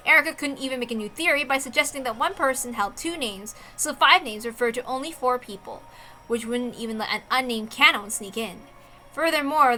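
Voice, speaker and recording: Female narrator for dramatic visual novel reading; high, crisp-edged bright tone. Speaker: narrator, reader.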